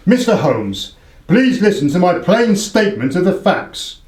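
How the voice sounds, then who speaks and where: A man's voice: sits high, very animated, measured pace, a little mellow, roomy sound. A man playing a haughty British aristocrat in a radio play, formal and emphatic in his speech. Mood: pleading, defensive. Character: haughty, indebted aristocratic male racehorse owner.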